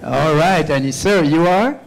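A slightly high-pitched older man speaking into a handheld mic as he moves through a church sanctuary. He welcomes each visitor, repeats their names and prompts the congregation for an amen. Soft music plays behind him. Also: takes it slowly, gravelly, poor audio. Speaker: pastor. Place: church sanctuary, walking among pews.

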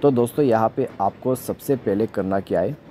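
A man's voice: on the low side, moderate pace, mellow, a little hiss or hum. A man giving a step-by-step phone troubleshooting tutorial as a voice-over in an instructional way. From India. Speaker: tech tutorial host.

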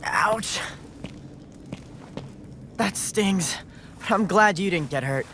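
A woman playing a plucky, excitable young cat-loving adventurer in a fantasy video game, speaking in an eager, earnest way. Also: mid-range voice, slow pace, slight background noise. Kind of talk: animated video game dialogue acting. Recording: game music under the dialogue.

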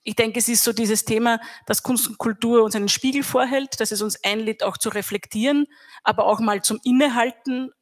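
A middle-aged woman with an Austrian accent speaking as a guest on a stage panel into a handheld mic, measured and reflective. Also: on the high side. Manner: measured, reflective, even delivery. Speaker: panel guest, academy head. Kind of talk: panel discussion.

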